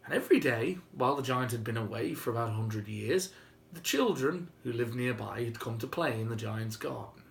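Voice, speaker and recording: A man telling a story informally and whimsically, with dramatic pauses and emphasis. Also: medium pitch, animated, creaky. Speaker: storyteller and video host. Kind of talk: informal improvised oral storytelling. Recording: roomy, faint background noise.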